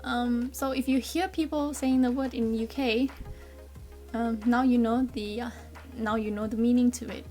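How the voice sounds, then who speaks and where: A woman's voice: fairly high pitch, steady tone, normal speed, mellow, soft background music. A woman in her twenties from Malaysia with a Malaysian accent, explaining things in a chatty, friendly way with frequent ums. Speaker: vlogger. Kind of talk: casual explainer vlog.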